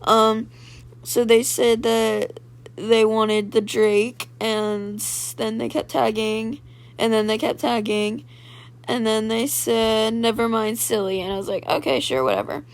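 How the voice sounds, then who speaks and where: A young woman with a raspy voice, chatting casually over gameplay footage and retelling trades with lots of "like" and "um". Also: a little high, fairly even intonation, measured pace. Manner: chatty, filler-heavy storytelling, full of "like" and "um". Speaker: gaming video creator.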